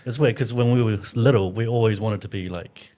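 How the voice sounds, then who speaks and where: Lowish-pitched New man, casual guest. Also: fairly quick, warm tone. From New Zealand. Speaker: interview guest, content creator. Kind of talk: casual chat.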